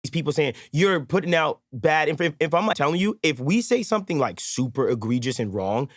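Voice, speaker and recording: A man with a New York American accent talking casually as a podcast guest, in a slangy, animated storytelling manner. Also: on the high side, fairly quick, dry recording, studio quality. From Brooklyn, New York, USA. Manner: animated storyteller, slangy, acts out quoted remarks. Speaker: podcast guest, mixing engineer and content creator. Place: studio.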